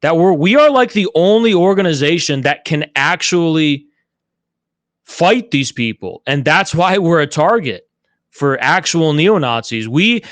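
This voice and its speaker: A man's voice: sits high, fairly bright tone, no echo. A man speaking in a live online political debate, arguing emphatically and repeating himself, with some swearing. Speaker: debater leading a political group. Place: live online audio chat room.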